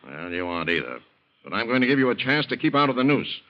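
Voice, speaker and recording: An American actor in an old-time radio drama, playing a coldly menacing blackmailer who gives domineering orders. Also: male voice, mid-range voice, sing-song, lo-fi sound, close to an everyday voice. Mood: menacing, commanding. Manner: domineering, deliberate threats.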